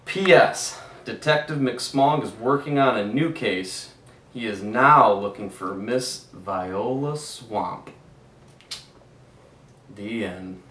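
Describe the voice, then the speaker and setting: Slow-reading man, echoey and distant. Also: fairly low pitch, slight background noise. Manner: gentle, asks the kids questions, chuckles. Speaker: PE teacher. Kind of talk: reading a picture book aloud to children. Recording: echoey room, away from mic.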